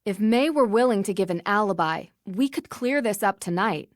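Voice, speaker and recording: A woman playing a young, level-headed amateur detective in a video game, speaking in a measured, conversational way. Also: mid-range voice, lively intonation, no room sound, studio quality, close to an everyday voice. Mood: thoughtful, suspicious.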